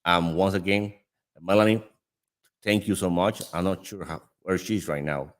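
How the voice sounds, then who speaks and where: Deep-voiced Dominican man hosting a chat. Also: steady tone, normal speed. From Dominican Republic. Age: 30s. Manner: laughs often, friendly and chatty. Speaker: host. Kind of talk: casual chat.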